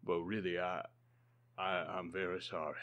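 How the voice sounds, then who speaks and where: A man plays a bereaved clergyman in a radio play. His very low voice is halting and apologetic, heavy with grief. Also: little pitch movement, dull audio, natural voice. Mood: grieving. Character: grieving, apologetic reverend, a bereaved father. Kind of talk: old-time radio drama acting.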